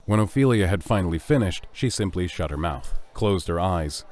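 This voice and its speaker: A man's voice: sits low, lively intonation, normal speed, darkish tone. A man narrating a scripted story for an audio drama.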